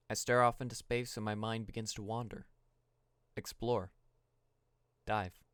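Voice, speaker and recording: A man playing a young podcaster narrating a dreamy, brooding story reflectively, with deliberate dramatic pauses. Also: fairly low pitch, smooth, dry recording, lightly acted. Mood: musing. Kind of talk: scripted first-person narration.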